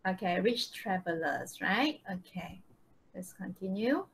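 A woman's voice: mid-range voice, lively intonation, unhurried, rough recording. A woman with a Malaysian accent teaching English conversationally on a video call, hesitant and checking in with students. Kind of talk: live online lesson, conversational.

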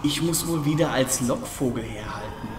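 A man's voice: mid-range voice, normal speed, noisy background, natural voice. A man playing a nervous, whiny, self-deprecating podcaster turned alien fighter in a sci-fi comedy audio drama. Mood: grumbling, uneasy. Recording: echoey, distant sound with music behind.

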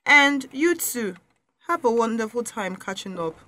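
A woman's voice: on the high side, animated, measured pace, background noise. A woman playing a sassy young troublemaker with a Nigerian accent, taunting and sarcastic in a radio drama. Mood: mocking.

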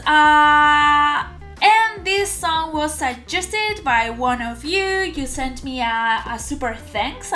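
A young woman from Spain with a Spanish accent, chatting casually to camera as a reaction host in an animated, halting, giggly way. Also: sits high. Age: young adult. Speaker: host of a music reaction channel. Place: small room at home, indoors.

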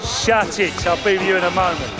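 A man's voice: high voice, fairly quick, lightly acted. A man playing a volatile, sadistic London gangster in a video game, taunting and bullying in a London accent. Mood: gruff swagger. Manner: taunting, bullying, foul-mouthed. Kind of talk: video game cutscene acting. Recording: music behind the voice.

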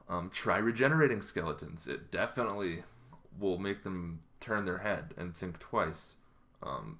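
Man in his thirties, low-pitched voice, casual explainer, halting off-the-cuff delivery, home vlog. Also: a bit bright. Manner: halting, loosely improvised delivery. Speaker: tabletop roleplaying game vlogger. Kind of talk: casual explanatory talk to camera. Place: small bedroom, indoors.

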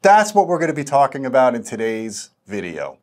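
A middle-aged American man with a mid-pitched voice talks as the host of an audio review show. He is chummy and enthusiastic, keeping the interview casual and conversational. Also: sing-song, brisk, background noise. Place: small indoor demo room. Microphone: lapel mic.

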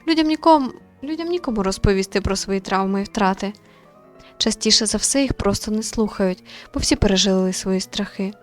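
A woman voicing a composed, modest young female medium in a visual novel, calm, polite and measured. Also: mid-range voice, soft background music, natural voice. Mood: modest, reflective.

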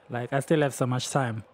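A young man who is not a native English speaker, rambling excitedly over his own gameplay with lots of fillers. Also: mid-range voice, slightly rough. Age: young adult. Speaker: gaming youtuber. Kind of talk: casual gameplay commentary.

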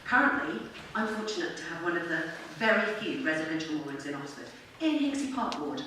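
A woman's voice: sits low, animated, brisk. A middle-aged woman reads a prepared public address in an earnest, formal, articulate way. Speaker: member of the public addressing a council. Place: council meeting chamber. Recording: very echoey room, distant mic, faint noise.

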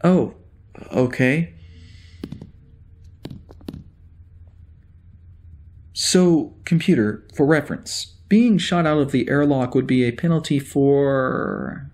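A man playing an anxious, confused spaceship security officer with amnesia in a science-fiction audio drama, hesitant and halting as he mutters to himself. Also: mid-range voice, darkish tone, natural voice. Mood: uneasy.

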